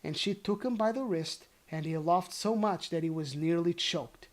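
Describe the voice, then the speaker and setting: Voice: medium pitch, moderate pace. A storyteller narrating a fairy tale informally, playfully correcting themself and dropping in casual asides. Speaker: storyteller reading aloud.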